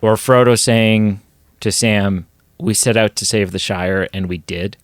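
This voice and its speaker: Low-voiced man losing his voice. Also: fairly even intonation, measured pace, no room sound, clean studio sound. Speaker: podcast co-host. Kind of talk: casual chat.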